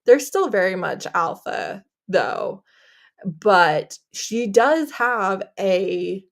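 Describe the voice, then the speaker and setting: A woman's voice: medium pitch, sing-song, very slow. A woman in a casual book chat, talking emphatically and peppering her speech with "like" and "you know". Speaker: book discussion panelist.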